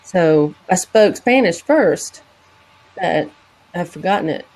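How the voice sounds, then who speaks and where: Lady from peru, lower voice, kinda hesitant trailing questions on a web panel. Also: expressive, takes it slowly, darkish tone, rough recording. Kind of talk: casual conversation.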